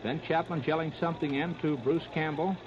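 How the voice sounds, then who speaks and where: A man's voice: fairly high pitch, steady tone, fairly quick. An American man calling baseball play-by-play in clipped, terse phrases, in the style of 1930s live radio commentary. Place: ballpark broadcast. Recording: noisy, muffled 1930s radio disc recording.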